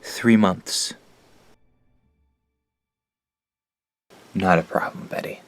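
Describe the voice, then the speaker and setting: A man's voice: low voice, a little slow. A man playing an earnest, hopeful young man who carries a burden, in an audio drama. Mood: tender. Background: soft new-age music underneath.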